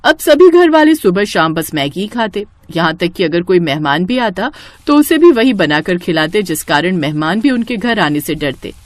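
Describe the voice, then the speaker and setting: A woman narrating a scripted moral story in an expressive, dramatic storytelling manner. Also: on the low side, moderate pace, few pauses.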